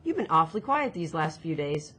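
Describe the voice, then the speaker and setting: A woman's voice: low-pitched, very animated, fairly quick, fairly bright tone, husky, heavily acted. A woman voicing a chatty, upbeat, teasing boy with a caring side in a storybook reading. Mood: earnest.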